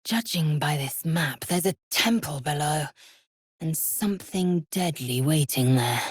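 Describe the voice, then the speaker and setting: A woman's voice: low voice, expressive, measured pace, slightly rough, no room sound, clean studio sound, heavily acted. A woman playing a fierce, proud alien warrior in a video game, haughty and clipped, with formal, disdainful phrasing. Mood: haughty, stern. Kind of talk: video game character voice lines.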